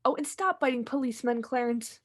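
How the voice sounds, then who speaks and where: A woman's voice: a little high, brisk, natural voice. A woman playing a stern, reproving senior angel in an old-time radio play. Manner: firm, reproving. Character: stern, brisk heavenly superior angel. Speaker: actor.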